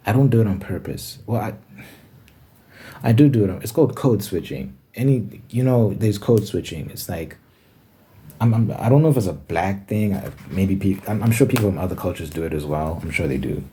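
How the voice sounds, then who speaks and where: A man's voice: deep voice, lively intonation, moderate pace, warm tone, slightly rough. A man from Zimbabwe talking casually to camera in his room, rambling and correcting himself as he thinks aloud. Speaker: ASMR channel host. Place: bedroom with window.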